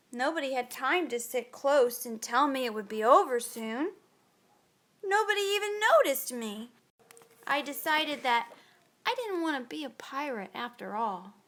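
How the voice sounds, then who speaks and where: A woman reading a picture book aloud to children in a dramatic, expressive storytelling style. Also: high voice.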